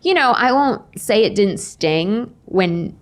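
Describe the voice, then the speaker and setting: A woman's voice: medium pitch, expressive, takes it slowly. A woman in her forties chatting casually as an interview guest, telling stories with acted-out dialogue and self-deprecating humor. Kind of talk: casual conversational storytelling.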